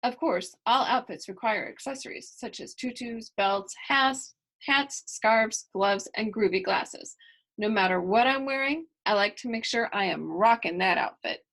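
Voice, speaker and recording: Storybook lead: girly seven-year-old voiced by a woman, light higher pitch, enthusiastic and emphatic. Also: measured pace, fairly bright tone. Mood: proud, perky. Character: bubbly, girly, fashion-proud seven-year-old farm girl. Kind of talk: first-person children's storybook narration.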